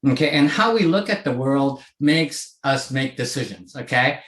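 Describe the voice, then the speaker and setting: A man in his sixties speaks with a lively, rising and falling pitch, checking in with his listeners as he goes. The sound carries a noticeable room echo, as if picked up over a video call. He from Hong Kong talks in a loose conversational way. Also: moderate pace. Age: 60s. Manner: conversational, frequent "okay?" check-ins. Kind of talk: presentation to a virtual audience.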